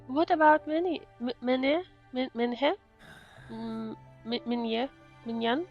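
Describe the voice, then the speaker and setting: High-pitched Russian-accented woman streamer, unhurried. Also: steady tone, muffled recording. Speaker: VTuber streamer. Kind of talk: casual game playthrough commentary. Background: faint game music.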